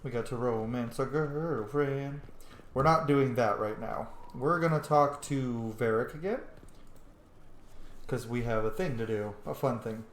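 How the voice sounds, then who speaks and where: A man's voice: mid-range voice, a little slow, slight background noise. A young man giving casual, enthusiastic commentary on a game he is playing, chatty and exuberant. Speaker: gaming streamer. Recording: echoey room, faint game music. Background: faint game music.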